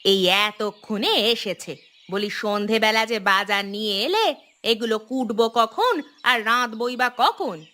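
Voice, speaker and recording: A woman's voice: a little high, slow pace, sharp tone. A woman playing a scolding old village wife. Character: nagging, bossy elderly village wife and grandmother. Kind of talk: cartoon voice acting.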